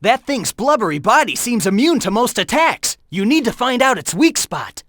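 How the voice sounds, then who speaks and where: Brash game hedgehog hero, teasing and coaching his rival, animated delivery, dry close booth sound. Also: extremely high pitch, normal speed, no echo, full character voice. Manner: cocky, teasing banter; urgent warnings and coaching. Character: cocky young male hedgehog hero, fast and teasing. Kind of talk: video game voice acting.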